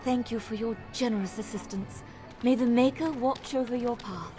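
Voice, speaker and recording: A woman's voice: a little high, moderate pace, over music, lightly acted. A woman playing an earnest, righteous priestess in a video game, speaking formally and with measure.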